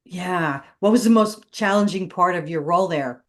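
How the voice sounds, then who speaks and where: A woman's voice: on the low side, moderate pace. A woman hosting a faith show, asking questions and affirming her guest. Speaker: show host. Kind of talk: interview questions.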